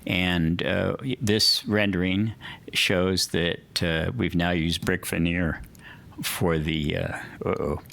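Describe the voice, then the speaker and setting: A man's voice: low-pitched, little pitch movement, slow pace, creaky, a bit bright. A man presenting plans for a hotel to a city commission at a public meeting, reading from a prepared statement, listing points with a few fillers.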